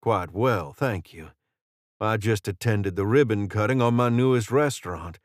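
A man's voice: lowish voice, moderate pace, no echo, lightly acted. Guy doing a polite chatty southern fey fella, folksy drawl, playful, close dry audiobook sound.

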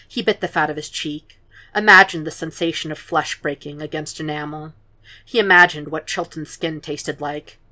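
Low-voiced woman reading a story. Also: fairly even intonation, normal speed, sharp tone. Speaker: fan fiction reader. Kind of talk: podfic narration.

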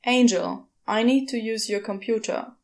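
A woman's voice: on the high side, normal speed, vocal fry, a little mellow. A woman voicing a brusque, moody male demon in a storybook reading, terse and grumbling. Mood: urgent.

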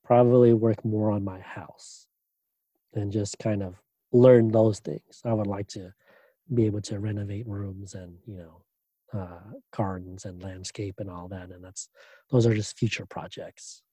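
A man, a doctoral student, answering interview questions in a relaxed way, pausing often with fillers and restarts. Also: fairly low pitch, no echo. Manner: hesitant, many fillers and restarts.

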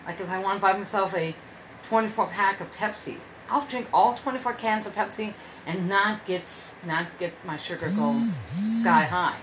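A woman's voice: mid-range voice, normal speed, fairly bright tone, a little hiss or hum, poor audio. Rambling woman in her fifties hosting. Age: 50s. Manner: rambling, repeats herself and restarts. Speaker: podcast host. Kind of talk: casual solo podcast chat. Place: her room at home.

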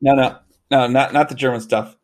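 Rambling Canadian-raised podcast co-host. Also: male voice, medium pitch, fairly even intonation, brisk. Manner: rambling, self-correcting, laughs easily. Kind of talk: casual podcast chat.

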